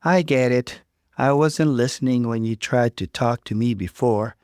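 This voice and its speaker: A man voicing an overwhelmed mother, a stiff and contrite sister, in an earnest, measured way, for a story. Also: medium pitch, darkish tone, clean tone, no room sound, studio quality, pitched above the performer's own voice, lightly acted. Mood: earnest, apologetic.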